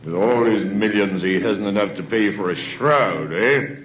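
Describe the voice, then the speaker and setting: Male actor as callous jail official, deep, clipped and commanding, vintage radio drama with faint hiss. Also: measured pace, lightly acted. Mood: mocking, cold. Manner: curt, commanding, offhand. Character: callous, sardonic male prison governor. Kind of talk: 1930s radio acting. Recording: muffled, hissy 1930s radio recording.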